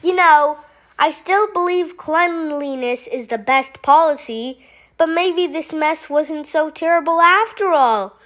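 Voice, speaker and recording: Lady doing a tidy little storybook frog, super high voice, drawn out, dramatic and fussy. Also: slow pace, a bit bright. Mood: content. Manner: theatrical, exclaiming. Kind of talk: children's storybook read-aloud.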